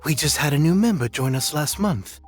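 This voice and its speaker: A man's voice: fairly high pitch, lively intonation, normal speed, faint music behind, lightly acted. A man voicing an upbeat, welcoming club recruiter in a video game.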